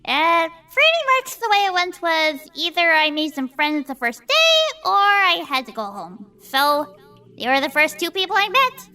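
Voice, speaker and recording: A woman's voice: extremely high pitch, very animated, moderate pace, pushed and bright, heavily acted. A woman voicing a plucky young female adventurer in a tabletop roleplay, with a casual, offhand manner.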